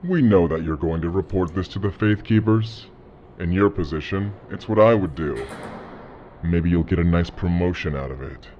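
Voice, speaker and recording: An actor playing a calm, commanding, threatening gang leader interrogating someone in an audio drama, speaking slowly and deliberately. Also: male voice, low-pitched, muffled recording, a little hiss or hum, lightly acted. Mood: smug threat.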